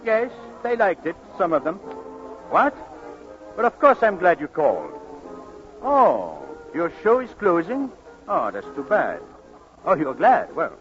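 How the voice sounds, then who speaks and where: An actor with a French accent playing a suave, excitable, gallant playwright in 1940s radio comedy style. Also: male voice, on the high side, measured pace, pauses often, faint music behind, heavily acted. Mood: charming. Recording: dull vintage radio recording.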